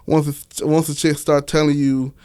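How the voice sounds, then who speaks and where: A man with a notably high voice talks as a guest in a casual interview. He tells his story candidly in slangy, profane speech, often circling back to repeat a phrase. The sound is dry and close, with no room echo. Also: level tone, normal speed, darkish tone, clear, resonant tone. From Miami, United States. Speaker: interview guest, author and stage play director.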